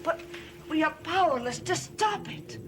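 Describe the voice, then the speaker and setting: A woman's voice: high-pitched, animated, unhurried, background noise. An actress playing a scheming, devoted servant woman with occult ways, solemn and insistent, in a 1960s gothic soap opera. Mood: fearful, pleading.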